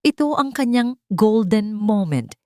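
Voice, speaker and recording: A woman with a Filipino accent narrating a dramatic story in a solemn, deliberate manner. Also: medium pitch, animated, dark tone, no room sound, studio quality. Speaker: audiobook narrator.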